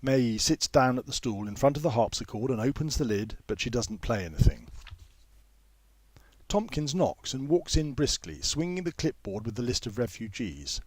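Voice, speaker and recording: Man reading a story with animated pitch. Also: normal speed, dry recording. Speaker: author reading own story. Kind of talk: scripted audiobook narration.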